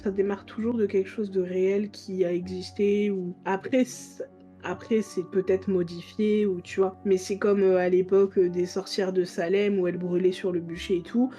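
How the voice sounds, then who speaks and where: Warm-voiced woman chatting on a stream. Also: medium pitch, level tone, normal speed, faint music behind. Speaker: guest streamer. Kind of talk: casual live-stream chat.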